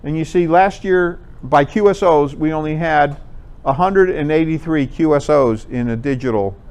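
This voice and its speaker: A man's voice: on the high side, moderate pace, a little mellow, clean tone. A man giving an informal, explanatory slide presentation to a group in a conversational way. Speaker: presenter at an amateur radio club talk.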